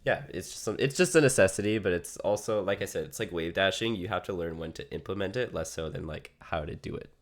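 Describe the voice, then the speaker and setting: A deep-voiced man gives casual coaching over an online call. His voice sounds slightly sick and worn, and he talks through advice in a conversational way. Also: animated, fast talker, hardly any pauses. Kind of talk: casual one-on-one gaming lesson.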